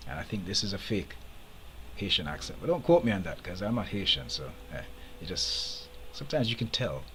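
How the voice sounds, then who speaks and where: A man's voice: deep voice, big pitch swings, a little fast, a bit bright, slight background noise, poor audio. A Jamaican man streaming a game, chatting casually and opinionatedly. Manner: chatty, opinionated, laughs often. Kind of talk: casual live gameplay commentary.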